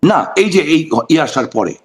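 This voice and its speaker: Higher-pitched Bangladeshi man, emphatic political talker. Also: big pitch swings, moderate pace. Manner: emphatic, rhetorical questions, repeats phrases for stress, mixes in English words. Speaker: political guest on a talk show. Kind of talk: impassioned political talk in an interview.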